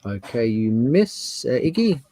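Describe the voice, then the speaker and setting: A man's voice: medium pitch, sing-song, a little slow, dark tone, no echo. A man narrating an improvised tabletop game as game master, conversational and descriptive.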